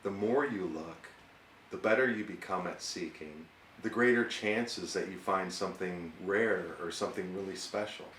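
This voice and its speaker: A man's voice: low voice, moderate pace, a bit bright. A man who hunts fossils, speaking reflectively in a documentary interview style. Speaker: fossil hunter. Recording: roomy, distant mic, faint noise.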